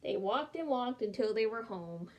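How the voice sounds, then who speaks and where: Young woman storyteller, gentle, expressive, fairly high voice. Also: moderate pace, lo-fi sound. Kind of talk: reading a picture book aloud.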